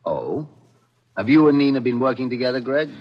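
Man playing a husky, confident theatrical producer. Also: medium pitch, animated, a little fast, lightly acted. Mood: probing. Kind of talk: 1940s radio mystery acting. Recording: dull old radio recording.

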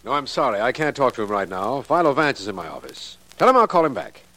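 A man's voice: mid-range voice, big pitch swings, fast talker, sharp tone. A man playing a busy, businesslike district attorney in an old-time radio crime drama.

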